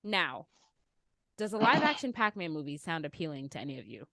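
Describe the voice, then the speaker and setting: A woman's voice: sits low, big pitch swings, fairly quick, fairly bright tone, no room sound, studio quality. A woman hosting a casual panel chat, speaking informally and asking plenty of questions. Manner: conversational, informal, inquisitive. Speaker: podcast host.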